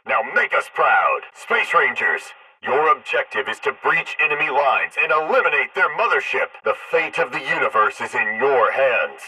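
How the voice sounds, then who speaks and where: An actor playing a zealous space ranger commander in an arcade game, barking heroic military orders through a radio filter. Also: male voice, medium pitch, sing-song, moderate pace, pushed and bright, husky, distant mic, full character voice, low-quality recording. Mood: rousing, heroic.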